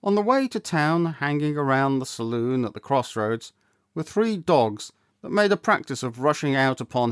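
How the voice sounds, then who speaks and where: A man reading a literary story aloud, with measured, deliberate phrasing and dramatic emphasis. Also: mid-range voice, clear, resonant tone, dry recording, clean studio sound. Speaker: storyteller, audiobook reader. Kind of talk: scripted literary narration, storytelling.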